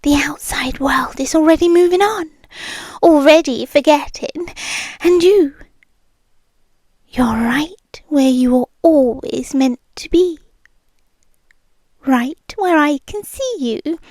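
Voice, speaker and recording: A woman's voice: high-pitched, no echo. A woman with a British accent voicing an obsessive, possessive rich young woman in a whispered ASMR roleplay. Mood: possessive purring. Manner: soft whispered, slow, purring menace.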